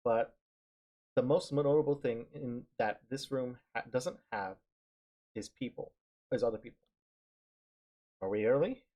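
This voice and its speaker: Husky man reading aloud, halting delivery. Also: mid-range voice, muffled recording. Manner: halting, stumbles and repeats words. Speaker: streamer reading a visual novel aloud. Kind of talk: live read-aloud narration of a visual novel. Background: music.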